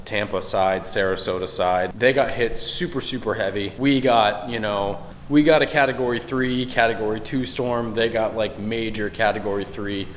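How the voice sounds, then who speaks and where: A young man getting over a cold talks casually to his viewers in a vlog, speaking relaxed and thankful from inside a large metal garage. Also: lowish voice, normal speed, few pauses, slight background noise. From Florida. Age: young adult. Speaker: vlogger. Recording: long reverb in a metal garage.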